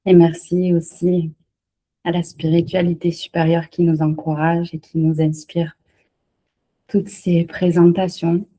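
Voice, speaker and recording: Dark, breathy woman leading a prayer. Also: lowish voice, level tone, measured pace. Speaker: leads the closing prayer. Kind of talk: closing prayer.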